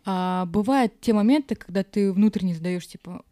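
Female podcast guest or co-host, mid-pitched, dark mellow tone, informal and conversational, voices imagined remarks. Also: moderate pace, no room sound, clean studio sound. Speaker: podcast participant. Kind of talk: casual chat.

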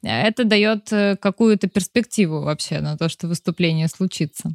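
A woman's voice: fairly low pitch, moderate pace, dry recording. Dry close-mic woman host. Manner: thinks aloud with fillers, sums up the guest's points. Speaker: podcast host. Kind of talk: interview conversation.

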